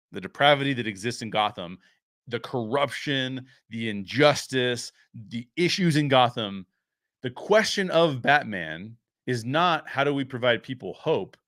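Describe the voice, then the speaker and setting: A man with a mid-pitched voice talks like the host of a review show. His commentary is casual and opinionated, and he explains his points with emphatic stress on key phrases. The sound is close and dry, with very little room. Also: pushed and bright, dry recording.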